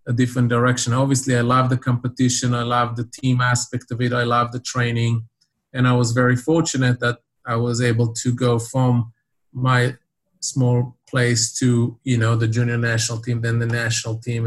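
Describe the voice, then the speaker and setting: Middle-aged man from Israel, college coach, interviewed, thoughtful and halting. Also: mid-range voice. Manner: reflective, many fillers and restarts.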